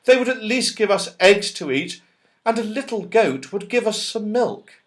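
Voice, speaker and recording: A man's voice: high voice, animated, normal speed, fairly bright tone, pitched above the performer's own voice. A man voicing a worried, scolding mother in a children's storybook, fretful and exclaiming. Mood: wistful.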